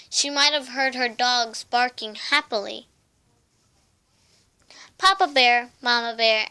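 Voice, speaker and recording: A woman's voice: on the high side, bright, forceful tone, smooth. A young woman reading a children's storybook aloud, carefully, occasionally stumbling and repeating words. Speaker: storybook reader.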